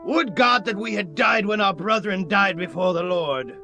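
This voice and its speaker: A man's voice: high-pitched, moderate pace, bright, forceful tone, faint music behind. A man playing an aggrieved Israelite protesting bitterly in a dramatized scripture reading, in declamatory archaic phrasing.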